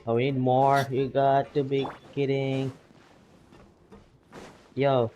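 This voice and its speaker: A man's voice: mid-range voice, monotone, takes it slowly, warm tone, highs cut off, a little hiss or hum. A young man casually commenting while playing a game and reading its text aloud, rambling and playful. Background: game music.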